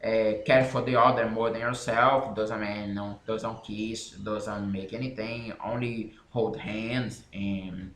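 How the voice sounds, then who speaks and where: A Brazilian man whose first language is Portuguese talks in English with a clear Brazilian accent. He speaks very slowly, pausing and restarting his sentences as he searches for words. The room around him gives his voice a noticeable echo. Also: on the low side, steady tone, a bit bright. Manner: halting, self-correcting non-native English with frequent pauses. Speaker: independent author. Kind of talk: casual explanatory talk to camera.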